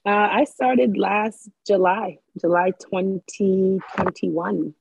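A woman's voice: medium pitch, slow, vocal fry, darkish tone, husky, no room sound. A woman from the Dominican Republic, raised in Maryland, talking about hosting as a podcast guest in an earnest, thoughtful way with many fillers. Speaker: guest, Airbnb host. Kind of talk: casual podcast chat. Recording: tinny phone-line sound.

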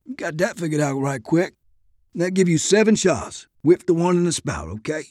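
A man's voice: a little high, sing-song, normal speed, a little mellow, dry recording, clean studio sound. A man voicing a folksy, confident army corporal in an audiobook war story, with a rural Southern American drawl and a colloquial, instructive way of talking. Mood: instructive, approving. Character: folksy, confident soldier corporal, good with guns.

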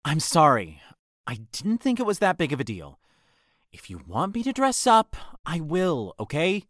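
A man's voice: fairly high pitch, huge pitch swings, moderate pace, a bit bright, no echo, clean studio sound. An actor playing an earnest, insecure young man in an audio drama, speaking hesitantly and wearing his heart on his sleeve. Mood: self-conscious. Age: young adult.

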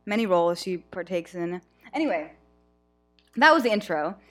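A young woman co-hosting a casual podcast from a college dorm room, joking and rambling with deadpan sarcasm into a small headphone mic. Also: mid-range voice. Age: young adult. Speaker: podcast co-host. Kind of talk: casual chat. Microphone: small headphone mic on a phone.

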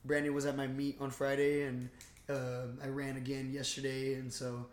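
A young man co-hosting a podcast, chatting casually about films in an excitable, slangy way with some swearing. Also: medium pitch, moderate pace, reverberant. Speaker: podcast co-host. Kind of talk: casual film review chat.

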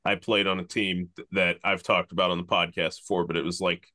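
A man co-hosting a podcast in a low voice, recorded dry and close. He talks casually and jokes at his own expense. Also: level tone, brisk, pushed and bright, no echo. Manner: self-deprecating, laughs at himself. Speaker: podcast co-host.

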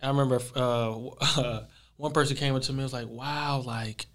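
Relaxed young man with smooth voice. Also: medium pitch, moderate pace. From Texas. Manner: relaxed, rambling, many fillers. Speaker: pro basketball player, guest. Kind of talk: casual interview chat.

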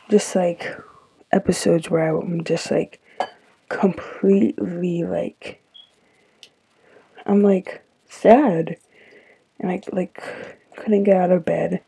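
A teenage girl hosting her own podcast, sounding stuffy and tired, rambling with lots of fillers in a soft, confiding way. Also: lowish voice, breathy edge, no echo, rough recording. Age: teens. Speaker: podcast host. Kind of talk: casual solo podcast talk.

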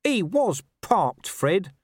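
A man's voice: sits high, sing-song, extremely slow, darkish tone, breathy edge, no echo, clean studio sound, pitched above the performer's own voice, heavily acted. A man voicing a sullen, shifty, scruffy police corporal who grumbles and whines in a storybook reading. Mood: sullen, aggrieved. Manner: whiny, grumbling.

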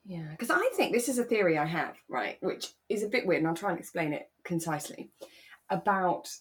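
A woman co-hosting a podcast, chatting casually and telling stories with animated asides. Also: lowish voice, a little fast. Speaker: podcast co-host. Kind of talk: relaxed casual chat recapping a radio drama.

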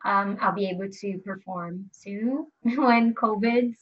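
A woman's voice: mid-range voice, slow, husky. A young woman from Brazil, a musician being interviewed, talking casually with lots of fillers and little laughs. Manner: chatty, frequent "like" fillers, laughs while talking. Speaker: musician guest. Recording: slightly dull lo-fi sound.

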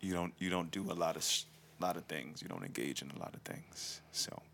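A man with a deep voice and a crisp, forceful edge. He chats casually as a talk-show guest, unscripted and conversational. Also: very animated, fairly quick.

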